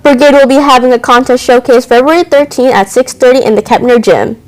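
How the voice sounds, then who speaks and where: Teen girl reading school news really fast, trips on words now and then. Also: high-pitched, low-quality recording. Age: teens. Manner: brisk reading with occasional stumbles. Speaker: student news anchor.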